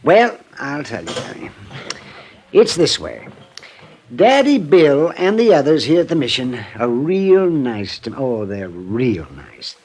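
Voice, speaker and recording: An actor playing a cheerful, chuckling, stubbornly indestructible old drunk man in a radio drama, rambling and folksy with a husky voice. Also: medium pitch, big pitch swings, unhurried, a little mellow. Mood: dismissive, rambling. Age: older. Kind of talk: 1950s radio drama acting.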